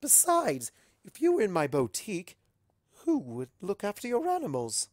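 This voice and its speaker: A man voicing a fussy, excitable female cartoon pony with a dramatic, bossy way of talking. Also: high voice, normal speed, no echo, clean studio sound, pitched above the performer's own voice, exaggerated voice. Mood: polite, gracious. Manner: dramatic, imperious. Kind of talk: cartoon fan fiction character reading.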